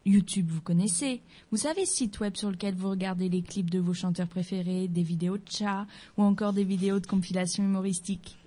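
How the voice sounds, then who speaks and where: A woman with a soft, mellow voice presents a scripted portrait segment in a local radio studio. She talks straight to the listeners in a chatty, friendly way, with playful asides. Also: medium pitch, steady tone, brisk, hardly any pauses. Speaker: radio columnist.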